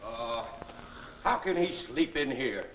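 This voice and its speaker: A man's voice: medium pitch, lively intonation, slow pace. Uneasy 1940s henchman: edgy lines, echoing room, noisy vintage soundtrack. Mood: nervous. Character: jumpy, uneasy male gang henchman. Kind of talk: 1940s film serial acting. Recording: echoey, distant, noisy 1940s film soundtrack.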